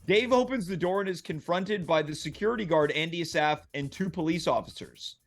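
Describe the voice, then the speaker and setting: A man narrates stage directions from a script for a comedy table read. His voice sits a little high and swings widely in pitch. Faint music plays behind him. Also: lively intonation, a little fast.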